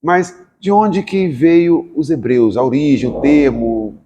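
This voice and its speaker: A man's voice: on the high side, slow, noisy background. A man, hosting a podcast and prompting his guests with questions for the audience. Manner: probing, asks questions for the audience. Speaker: podcast host. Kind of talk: podcast conversation. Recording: long reverberant room sound.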